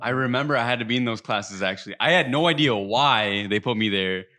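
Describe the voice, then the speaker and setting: Fast-talking blunt young man. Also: medium pitch, bright, forceful tone. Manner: blunt, swears, calls others bro. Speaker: podcast guest. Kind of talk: casual podcast chat.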